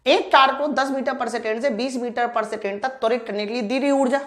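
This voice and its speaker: A high-voiced woman teaches an exam class. She works through problems step by step, talking informally to her students. She often poses a question, then answers it herself and repeats key lines. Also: steady tone, moderate pace. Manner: informal, addresses students directly. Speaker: physics teacher for exam preparation. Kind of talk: live online class, explaining problems step by step.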